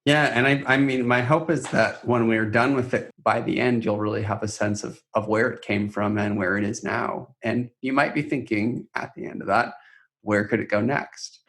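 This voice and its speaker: A man's voice: fairly low pitch, steady tone, moderate pace, a bit bright. A man co-hosting a podcast, explaining things conversationally and reflectively, building his points through repeated phrasing. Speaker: podcast co-host.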